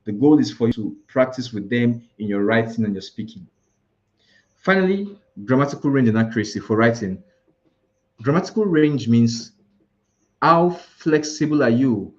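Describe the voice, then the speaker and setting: A man's voice: mid-range voice, animated, moderate pace, darkish tone, muffled recording. A man with a Nigerian accent, teaching an IELTS preparation class live, explaining scoring and listing word alternatives with frequent restarts. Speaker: IELTS tutor.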